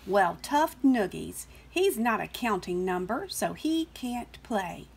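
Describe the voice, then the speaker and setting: A woman's voice: mid-range voice, sing-song, slow, creaky, slightly rough, exaggerated voice. A woman voicing brash, dismissive, impatient cartoon number characters in a storybook reading.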